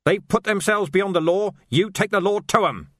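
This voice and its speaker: A man's voice: high-pitched, wildly swooping pitch, normal speed, a bit bright, dry recording, clean studio sound, voice pushed up, exaggerated voice. A man voicing a sneering, menacing male villain who bursts into manic rage. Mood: ranting.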